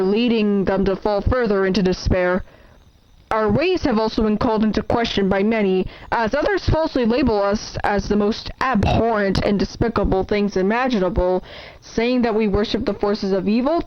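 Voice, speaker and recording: Devout preacher, female actor, slightly high, formal and exhorting sermon delivery, lo-fi horror broadcast sound. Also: close to an everyday voice. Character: solemn devout preacher addressing a troubled flock. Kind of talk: scripted sermon in analog horror drama. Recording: dull, noisy lo-fi broadcast sound.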